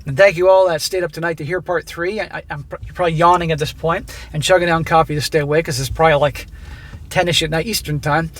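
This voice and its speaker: Blunt high-voiced man coaching. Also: steady tone, fast talker, fairly bright tone. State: losing his voice. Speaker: host reading listener stories. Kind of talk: reading a submitted story aloud with commentary.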